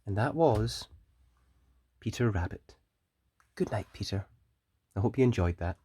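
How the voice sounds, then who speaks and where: A children's story reader and host, narrating a picture book in a gentle, deliberate and expressive way. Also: medium pitch, husky, no room sound.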